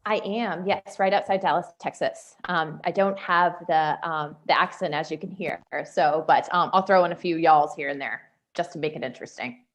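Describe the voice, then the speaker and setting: A woman's voice: low voice, normal speed, hardly any pauses. A woman appearing as a guest on a video call, friendly and lightly joking. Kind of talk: casual video call chat.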